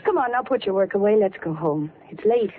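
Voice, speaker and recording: An actress playing a devoted, concerned sweetheart, tender and coaxing, in an old radio drama. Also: female voice, mid-range voice, expressive, brisk, close to an everyday voice. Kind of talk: 1940s radio drama acting. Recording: faintly noisy lo-fi vintage radio recording.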